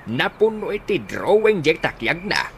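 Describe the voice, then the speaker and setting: A man's voice: on the high side, sing-song, normal speed, fairly bright tone, a little hiss or hum. A man playing a grumbling, teasing elderly village father in a comedy radio drama, with an Ilocano accent. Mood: comic disapproval.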